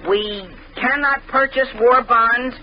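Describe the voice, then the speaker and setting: An actor playing an earnest, excitable teenage boy who is easily flustered, in 1940s radio comedy style. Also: very high voice, slow. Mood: earnest, businesslike. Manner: boyish, exclaiming, easily puzzled. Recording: muffled, noisy old radio recording.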